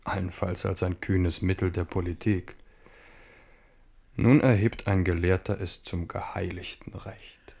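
An embattled king with a low voice. He is proud and wry, putting his questions with pointed irony and scepticism, in the style of a radio play. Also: level tone, measured pace, gravelly, darkish tone, husky, full character voice. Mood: wary, ironic. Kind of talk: German radio play acting.

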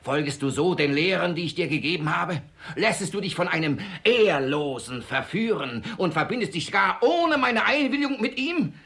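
Blustering old miser, wildly animated. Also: male voice, high voice, normal speed, creaky, full character voice. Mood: outraged. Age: older. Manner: spluttering, stammering, theatrical. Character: blustering old miser father, indignant and self-pitying. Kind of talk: classic stage comedy radio acting.

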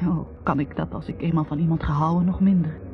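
An actress playing a candid, intense young student who analyses herself haltingly, in a confessional 1960s radio drama monologue. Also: female voice, sits low, fairly even intonation. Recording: muffled, noisy 1960s radio recording.

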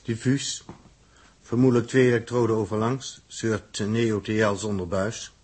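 A gruff, impatient space explorer. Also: male voice, on the low side, level tone, unhurried, a little mellow. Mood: brisk, analytical. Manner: gruff, scolding, explanatory. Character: bossy, impatient male space explorer with technical know-how. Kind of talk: 1960s Dutch radio drama acting. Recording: noisy early 1960s radio recording with clicks.

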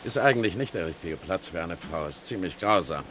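An actor playing a respectful, grave fire brigade captain who reports hesitantly in a 1960s German radio crime drama. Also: male voice, low-pitched, lively intonation, fairly quick, slight background noise. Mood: grave, deferential.

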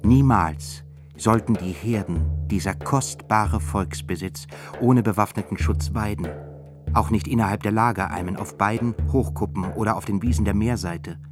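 Low male narrator, grave measured reading, clear music behind. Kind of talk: scripted narration.

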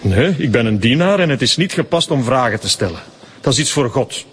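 Husky, prim Flemish church sexton. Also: male voice, mid-range voice, lively intonation, fairly quick, a bit bright. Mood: dismissive. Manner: formal, evasive, dismissive. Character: prim, curt church sexton, reluctant and devout. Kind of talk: radio drama acting.

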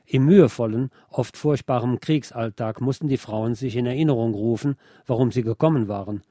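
Mann liest vor, weiche, trockene Stimme. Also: male voice, mid-range voice, brisk, warm tone, no echo, studio quality. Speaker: radio programme presenter reading a book chapter. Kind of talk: scripted narration, literary reading.

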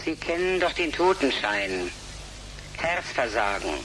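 A man's voice: medium pitch, very animated, measured pace, a bit bright, low-quality recording. Slick sarcastic guy, evasive, voice jumps around a lot, radio drama w/ noise. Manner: sarcastic, dismissive, glib. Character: slick, evasive, condescending well-off male client.

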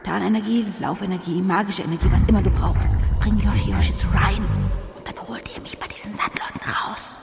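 A woman playing a tough, sharp-tongued female agent in a German radio drama, curt and commanding. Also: mid-range voice, sing-song, a little fast, vocal fry, in a whisper, over loud music. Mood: decisive, commanding.

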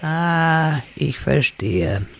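A man's voice: fairly high pitch, lively intonation, gravelly, noisy background, heavily acted. A man playing a pompous, theatrical old wizard in a comedy audio drama. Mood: grand, then puzzled. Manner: slow, theatrical delivery.

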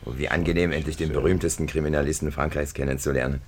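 Rumbling, fast, flattering state secretary. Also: male voice. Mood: effusive, flattering. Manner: effusive, wordy. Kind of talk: 1950s German radio drama acting. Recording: noisy vintage radio recording.

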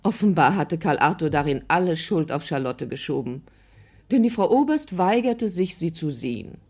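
A woman narrates a literary tale from a script. Her voice is very low for a woman and dark, soft-edged in tone, with measured storytelling delivery. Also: very animated.

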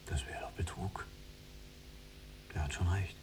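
An actor playing a resigned young prisoner in a radio drama, talking in terse, subdued near-whispers. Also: male voice, sits low, unhurried. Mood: hushed, uneasy. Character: young male prisoner, resigned, yielding cellmate. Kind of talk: literary radio drama dialogue. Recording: distant mic, noisy with hum.

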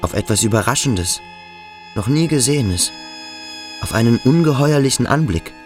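An actor playing a melancholy, yearning schoolboy thinking aloud in in a radio drama, introspective and literary. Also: male voice, mid-range voice, expressive, moderate pace, over music, close to an everyday voice. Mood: brooding, fascinated. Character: melancholy, yearning schoolboy lost in his thoughts. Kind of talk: radio drama acting, interior monologue.

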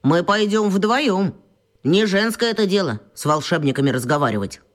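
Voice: medium pitch, lively intonation, moderate pace, lightly acted. An actor voicing a bold, decisive schoolboy leader in a radio play. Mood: bossy. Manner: decisive, apologetic to adults.

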